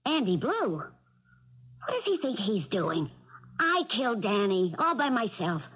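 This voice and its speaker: An actress playing a brassy, indignant, stammering dame in a 1970s radio mystery. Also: female voice, medium pitch, very animated, slow pace, slightly rough, heavily acted. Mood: insistent. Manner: feisty, stammering. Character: brassy, indignant dame insisting on her own guilt. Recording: dull vintage radio broadcast.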